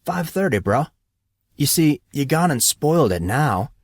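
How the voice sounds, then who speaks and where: Man doing a brash, rowdy teenage boy, raised pitch. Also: very animated, measured pace, no room sound, studio quality, full character voice. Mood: cocky, playful. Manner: cocky, slangy banter with bro and dude.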